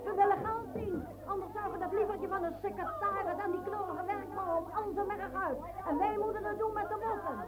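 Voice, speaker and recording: An actress in a radio play voicing a proud commander's wife and the towns of a kingdom, speaking in an insistent, proclaiming way. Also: female voice, very high voice, fairly even intonation, fairly quick, hardly any pauses, over a noisy crowd, very noisy. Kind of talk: radio drama acting. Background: music.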